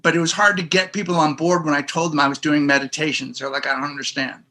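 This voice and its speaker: A man co-hosting a casual podcast who speaks in short, sincere bursts. His voice is higher than most men's and has a bright, pushing edge. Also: fairly even intonation, quick pace. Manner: earnest, brief remarks. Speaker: podcast co-host.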